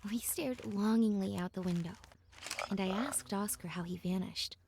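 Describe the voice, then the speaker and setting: A woman playing a reflective young woman who narrates her journey in a measured, wistful storybook style. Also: medium pitch, vocal fry, background noise, close to an everyday voice. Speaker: narrator and protagonist. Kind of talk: scripted first-person storybook narration.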